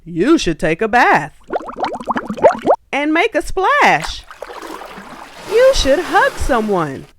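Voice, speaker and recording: A woman's voice: high voice, wildly swooping pitch, drawn-out words, pauses often, noisy background, pitched above the performer's own voice, full character voice. A woman voicing cheerful animal friends in a children's storybook, eagerly encouraging, with music behind her. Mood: eager urging. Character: cheery animal friends coaxing a sulky monkey. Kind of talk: children's storybook read-aloud.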